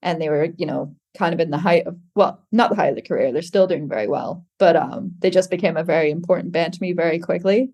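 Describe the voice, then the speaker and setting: A woman's voice: lowish voice, fast talker, few pauses, warm tone. A woman musician guesting on a podcast, chatting casually with plenty of "like" and easy laughs. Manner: chatty, lots of fillers like "like", laughs.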